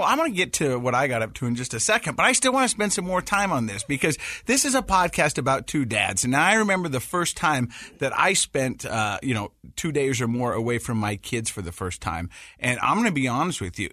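A man's voice: medium pitch, pushed and bright, no room sound. A middle-aged man co-hosting a casual podcast from a studio, ribbing his co-host in a playful way.

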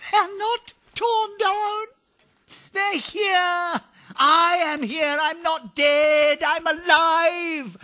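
A man playing an excitable, desperate old miser in a radio drama, with a theatrical, breathless, stammering delivery. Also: very high voice, no room sound, exaggerated voice. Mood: awed relief. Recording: music under studio radio drama.

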